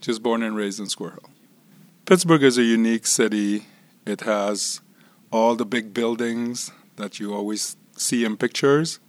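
A man who was born in Israel and grew up in Curacao, now a tavern owner, telling his life story in an easygoing, reflective way. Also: lowish voice. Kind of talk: personal life-story monologue.

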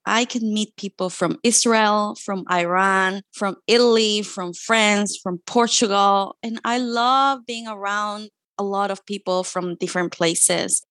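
A woman's voice: medium pitch, unhurried, hardly any pauses, fairly bright tone, dry recording. A woman from Chile with a Chilean Spanish accent, speaking English as a second language and telling her own immigration story in an earnest, heartfelt, conversational way. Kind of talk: personal storytelling monologue.

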